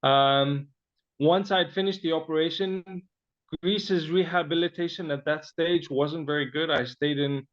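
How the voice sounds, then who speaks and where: A man from Zimbabwe recounts his own story as an interview guest, pausing often and restarting his sentences. His voice sits a little high. The recording sounds dull and lo-fi. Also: fairly even intonation. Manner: halting, frequent fillers and restarts.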